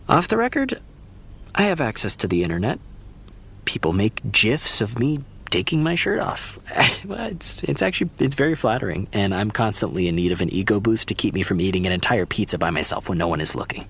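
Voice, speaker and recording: A husky tv hunk, teasing and self-deprecating, really animated, natural audio drama chat. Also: male voice, lowish voice, normal speed, full character voice. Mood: wry, joking. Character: wry, self-mocking, loyal male TV heartthrob actor. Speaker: actor in scripted fiction podcast.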